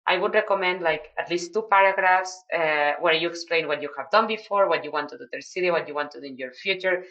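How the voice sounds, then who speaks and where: A woman with a Spanish accent explains tasks to newcomers on a video call, her voice sitting low. She talks in an explanatory way, pausing on fillers and restarting phrases. The audio is a touch dull. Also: fairly bright tone. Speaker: project mentor. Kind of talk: online video call briefing.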